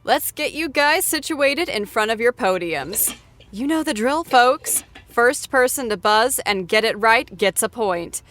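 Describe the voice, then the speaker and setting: A woman's voice: a little high, expressive, unhurried, a bit bright, slight background noise. A woman hosting a playful trivia game show in a bubbly, upbeat manner. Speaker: show host.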